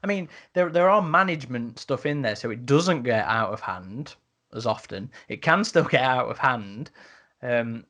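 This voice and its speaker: A man hosting a casual podcast discussion, speaking in a halting way and rephrasing as he goes. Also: mid-range voice, lively intonation, fairly bright tone. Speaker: podcast host.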